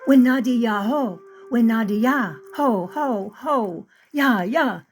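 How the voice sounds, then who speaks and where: A woman putting on a defiant teen girl for a read-aloud story, raised voice, sharp and mocking. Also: fairly high pitch, lively intonation, normal speed, faint music behind, voice pushed up, natural voice. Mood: chanting. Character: spirited sixteen-year-old Cherokee girl, haughty.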